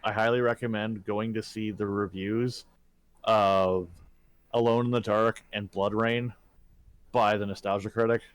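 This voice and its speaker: A man's voice: fairly low pitch, fairly even intonation, unhurried, clean tone, no echo. A man co-hosting a casual podcast chat, speaking in short remarks and brief interjections. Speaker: podcast co-host.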